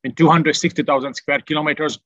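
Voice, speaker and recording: A Hungarian-accented man walks through a military briefing in a bright, forceful voice, pausing often on filler sounds. The sound is dry and a little dull, like online call audio. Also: a little high, fairly even intonation, dry recording. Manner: methodical, frequent "uh" fillers. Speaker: military analyst. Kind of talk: briefing over a shared map. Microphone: video call.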